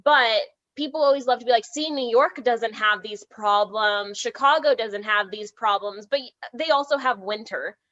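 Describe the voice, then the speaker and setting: A Canadian woman, a filmmaker guest on a podcast call, talking in an animated way, laughing often and saying "like" a lot while hot and sweating. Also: fairly high pitch, normal speed, hardly any pauses, highs cut off. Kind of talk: casual remote interview chat.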